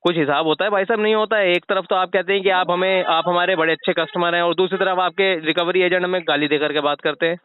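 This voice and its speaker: A man's voice: high voice, steady tone, quick pace, hardly any pauses, smooth, dry recording. A man, arguing insistently and indignantly as a customer on a recorded phone call, lecturing the person at the other end. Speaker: credit card customer disputing recovery calls. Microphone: telephone line.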